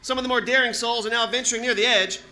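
A man playing an excited radio reporter at the scene in 1930s radio style, hesitant and stammering with urgency. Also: very high-pitched, fast talker, heavily acted. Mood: hushed urgency. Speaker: actor in a radio drama recreation.

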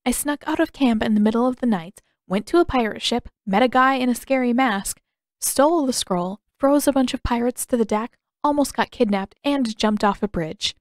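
Woman voicing a spirited teenage girl, raised pitch. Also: normal speed, no echo, studio quality, natural voice. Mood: defiant. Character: spirited, defensive teenage girl, proud young waterbender.

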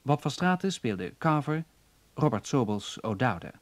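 A man's voice: medium pitch, sing-song, a little slow, no room sound. A man reading scripted credits as a radio announcer.

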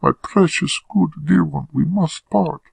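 Audiobook role: man playing a distraught young wife, pitched high, breathy, frightened, urgently pleading. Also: a little slow, no room sound, full character voice. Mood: tearful pleading. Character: anguished young married woman, frightened and pleading.

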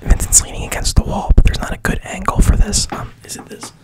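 Whispering man retelling a film. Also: quick pace. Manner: rambling, profane, hesitant. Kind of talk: whispered movie recap.

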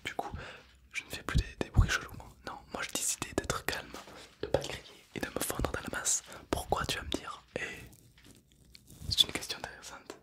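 A young man plays a calm, chatty zombie in a breathy, close-miked ASMR whisper. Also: natural voice. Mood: calm, confiding. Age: 20s. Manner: slow, hushed, rambling, playful. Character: calm, chatty, self-deprecating male zombie, mock-menacing. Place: indoor studio setup. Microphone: close stereo microphones either side of the head.

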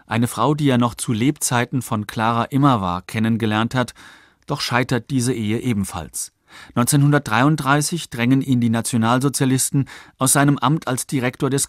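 Measured male documentary narrator, dry sound. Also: medium pitch, no room sound. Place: studio.

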